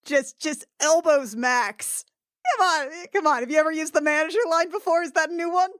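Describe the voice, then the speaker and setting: High-voiced woman playing a tabletop game. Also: a little fast, a bit bright, no room sound, clean studio sound. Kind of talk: casual game chat.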